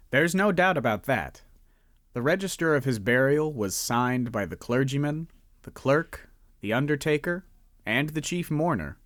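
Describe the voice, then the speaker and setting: Male narrator for a radio play, measured and expressive, with a lively voice and dry close sound. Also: medium pitch, no echo. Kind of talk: scripted storybook narration.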